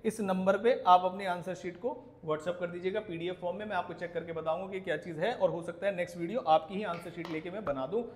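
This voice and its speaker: A man's voice: high voice, steady tone, fast, hardly any pauses. An Indian man who teaches, ranting indignantly to viewers in an emphatic, scolding way with rhetorical questions. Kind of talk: indignant video rant to viewers. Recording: echoey room.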